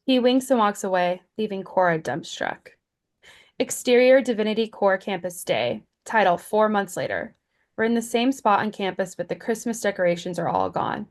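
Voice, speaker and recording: A woman's voice: mid-range voice, lively intonation, normal speed. A woman reading stage directions as scripted narration at a screenplay table read.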